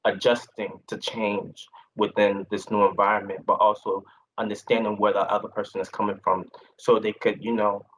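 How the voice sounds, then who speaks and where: Young man from Haiti, low voice. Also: flat delivery, normal speed, low-quality recording. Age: young adult. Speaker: panelist. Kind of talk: virtual panel discussion.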